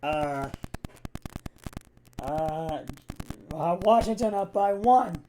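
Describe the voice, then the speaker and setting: Male amateur sports host, raspy mid-high voice, halting and stumbling delivery, noticeable background noise. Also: lively intonation, warm tone, poor audio. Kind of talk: amateur football game recap.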